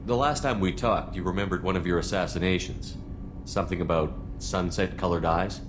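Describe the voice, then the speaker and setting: An actor playing an earnest, principled human military commander in a video game, with a direct, questioning manner. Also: male voice, low voice, fairly quick, noisy background, lightly acted. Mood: challenging. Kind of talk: video game dialogue acting.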